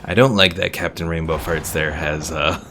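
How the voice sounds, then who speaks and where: A man's voice: a bass voice, steady tone, moderate pace, a bit bright, a little hiss or hum. A man giving casual live gameplay commentary as a streamer, thinking aloud as he plays. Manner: thinks aloud while playing. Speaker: game streamer. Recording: game music behind voice. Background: video game music.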